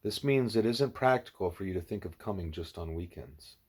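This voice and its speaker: A man voicing a grave, patient, wise animal teacher in an audiobook, speaking in a measured, formal, didactic way. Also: low-pitched, expressive, darkish tone, husky.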